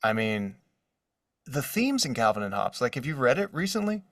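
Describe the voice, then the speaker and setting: A man chatting casually and enthusiastically as an interview guest, in an expressive way. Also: mid-range voice, normal speed, no echo, clean studio sound, recorded from a distance. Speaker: guest, voice actor and artist.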